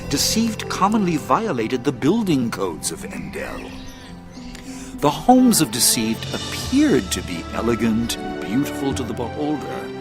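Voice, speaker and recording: Slow, expressive storyteller. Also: male voice, medium pitch. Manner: theatrical, deliberate. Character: wise, solemn storyteller recounting a fallen kingdom's tale. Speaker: narrator. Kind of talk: dramatic audio drama storytelling.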